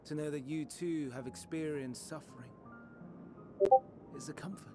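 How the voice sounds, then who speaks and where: A troubled, idealistic scholar in a fantasy game, played by a male actor, with a fairly high male voice. He speaks in measured, old-fashioned, formal phrases, with quiet torment under the courtesy. Prominent music plays behind him. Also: steady tone, close to an everyday voice. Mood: earnest, wistful. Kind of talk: dramatic video game voice acting.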